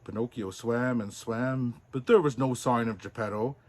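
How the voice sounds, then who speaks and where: A man narrating a children's storybook as Santa, in an expressive, deliberate way. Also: mid-range voice.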